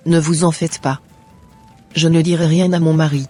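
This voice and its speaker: A man's voice: on the high side, little pitch movement, normal speed, dark tone, dry recording, soft background music, close to an everyday voice. A man voicing an anxious, submissive wife in an amateur cartoon dub, with earnest, pleading delivery.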